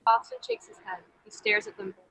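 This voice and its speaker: Bright-voiced woman reading stage directions. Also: fairly quick, no room sound, rough recording. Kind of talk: scripted narration.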